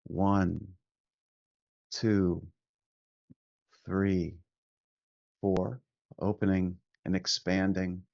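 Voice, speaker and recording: A man leading a guided meditation as a teacher, speaking gently and soothingly with long pauses between short instructions. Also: low-pitched, flat delivery, creaky, no room sound, highs cut off.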